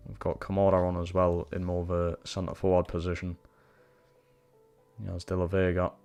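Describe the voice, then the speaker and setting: A man's voice: low-pitched, monotone, moderate pace, a little mellow, smooth, faint music behind. A young man with a Geordie accent commentating live on his football game, swearing and reacting to every pass. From Newcastle, England. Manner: sweary, frustrated reactions to play. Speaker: streamer playing a football career mode.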